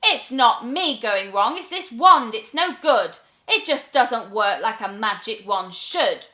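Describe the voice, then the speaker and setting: A woman's voice: a little high, animated, slow pace, pushed and bright, reverberant, heavily acted. A woman voicing a hot-tempered, mischievous young witch in a storybook, exclaiming and chanting rhymes dramatically. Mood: furious.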